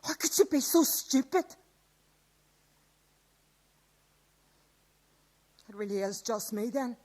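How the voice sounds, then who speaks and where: High-pitched female, radio play; anxious scold sheltering from a poisoned city, exasperated and pleading. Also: lively intonation, brisk, darkish tone. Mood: despairing. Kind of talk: radio drama acting.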